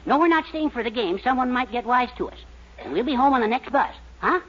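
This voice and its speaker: A man's voice: very high voice, expressive, brisk, fairly bright tone. An actor playing a fretful, timid, henpecked man with a fussy, exclamatory manner in a 1940s radio sitcom. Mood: smug, doting. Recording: muffled 1940s radio recording, faint noise.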